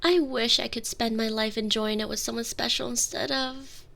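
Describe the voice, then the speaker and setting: Casting: actress as lovelorn princess, young, higher-pitched, intimate and tender, playfully wry, ASMR roleplay. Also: female voice, fairly even intonation, moderate pace, vocal fry. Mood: weary, exasperated. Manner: soft, tender, playful, breaking into whispers.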